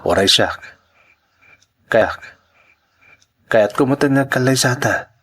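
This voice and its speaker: A man playing an earnest, suspicious young son and partner in an Ilocano audio drama, with a husky voice. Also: mid-range voice, takes it slowly, a bit bright. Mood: earnest, pressing.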